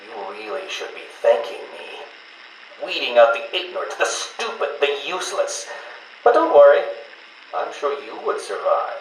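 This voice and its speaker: Arrogant, volatile American game villain, genius criminal patient. Condescending, very animated delivery, echoey taped interview. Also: male voice, mid-range voice, normal speed. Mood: mocking. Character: arrogant, brilliant male riddle-obsessed criminal mental patient, taunting and volatile. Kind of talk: video game villain voice acting, interview dialogue. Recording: echoey taped interview, faint background noise.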